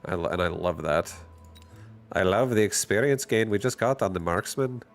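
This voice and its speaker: A man's voice: deep voice, lively intonation, normal speed, gravelly, soft background music. A man casually thinking aloud and commenting on his own strategy game as he streams it. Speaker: gaming streamer.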